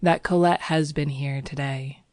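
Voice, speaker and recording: A woman's voice: low-pitched, steady tone, unhurried, darkish tone, no room sound, studio quality. A woman voicing a cheerful young male artist in an audiobook story, breezy and reassuring, playing down danger. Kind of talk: audiobook character dialogue.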